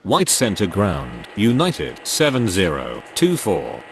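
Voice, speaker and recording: A man's voice: deep voice, wildly swooping pitch, slow pace, creaky. A man delivering formal, clipped air traffic radio phraseology. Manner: formal, procedural.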